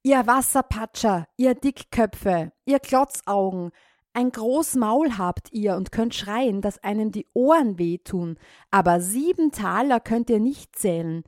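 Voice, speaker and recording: A woman's voice: mid-range voice, big pitch swings, takes it slowly, warm tone, no room sound, clean studio sound. A woman voicing a stubborn old farmer who scolds and rants indignantly in a storybook reading. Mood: ranting.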